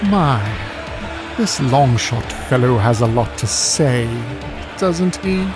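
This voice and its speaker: Snooty sarcastic butler type, really slow n languid, swoopy high-ish voice. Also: male voice, expressive, music in the background. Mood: arch surprise. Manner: arch, languid, mocking. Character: droll, prim major-domo servant, archly sarcastic and unflappable. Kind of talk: audio drama acting.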